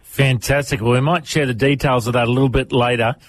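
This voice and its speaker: A man hosting a radio interview, asking relaxed, conversational questions. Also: mid-range voice, brisk.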